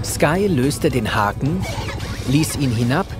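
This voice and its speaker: A man's voice: mid-range voice, animated, slow, over loud music. A man narrating a children's audio drama as a scripted storyteller.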